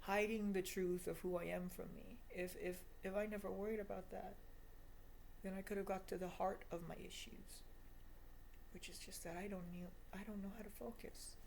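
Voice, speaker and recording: A woman's voice: medium pitch, little pitch movement, normal speed, vocal fry, a little mellow, slight background noise, rough recording. A woman of twenty giving a confessional video diary monologue in an emphatic, repetitive, self-deprecating way. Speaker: vlogger.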